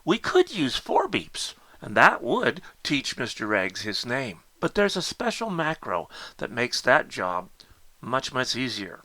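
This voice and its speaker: A man's voice: mid-range voice, huge pitch swings, measured pace, pushed and bright, no echo. A man narrating a step-by-step technical tutorial, explaining things directly to the learner as an instructor. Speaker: instructor demonstrating a Morse code keyer.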